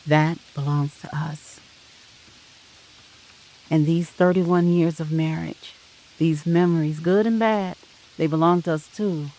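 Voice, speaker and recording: A narrator voicing a loving middle-aged husband in an audio drama, soft, tender and reassuring. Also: medium pitch, fairly even intonation, slow, long pauses, low-quality recording. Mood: tender, earnest.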